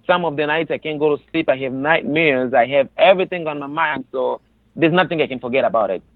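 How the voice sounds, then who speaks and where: A man with a Congolese accent speaks over a narrow, phone-like connection in a somewhat high voice. He gives personal testimony as an interview guest. His manner is earnest and emphatic, circling back to repeat his phrases. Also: fairly quick, dry recording. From Democratic Republic of the Congo, raised in a refugee camp in Tanzania. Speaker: interview guest, resettled refugee.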